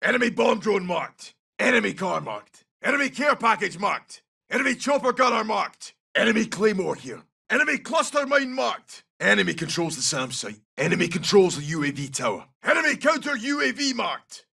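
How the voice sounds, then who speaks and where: An actor playing a gruff, brash Scottish special forces soldier in a military shooter game, giving clipped, urgent tactical callouts in a rough, gravelly voice. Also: male voice, high-pitched, big pitch swings, moderate pace, hardly any pauses, bright, forceful tone, recorded from a distance, full character voice. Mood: alert, clipped.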